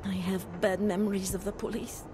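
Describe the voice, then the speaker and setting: A woman's voice: mid-range voice, level tone, normal speed, noisy background, lightly acted. A woman playing a wary, formal witness. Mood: guarded. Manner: formal, precise, guarded. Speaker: game character. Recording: game cutscene with loud music.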